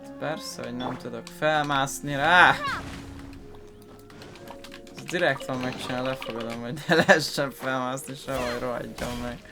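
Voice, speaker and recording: A man's voice: medium pitch, steady tone, moderate pace, vocal fry, music in the background. A Hungarian man giving casual let's-play commentary as a gaming host, informal and grumbling.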